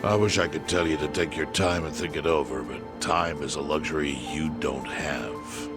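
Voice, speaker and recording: An actor playing a calm, calculating older chairman in an English video game dub, gravelly and measured, laying things out with dry bluntness. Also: very deep voice, over loud music. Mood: calm persuasion.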